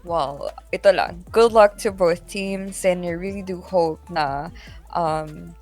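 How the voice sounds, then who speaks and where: A woman's voice: on the low side, slow pace, darkish tone. A Filipino-accented woman doing pregame esports panel analysis in mixed English and Tagalog, wordy and often rephrasing herself. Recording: music under the voice.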